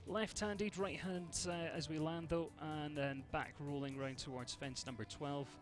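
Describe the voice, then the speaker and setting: A man's voice: a little high, steady tone, unhurried, soft background music. A man from Scotland with a Scottish accent, giving chatty live commentary on a show jumping event and greeting viewers by name.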